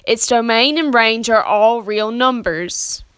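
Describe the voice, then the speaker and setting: A woman's voice: a little high, takes it slowly, fairly bright tone, clear, resonant tone, no echo. A young woman giving scripted lesson narration as a student presenter, explaining plainly and methodically.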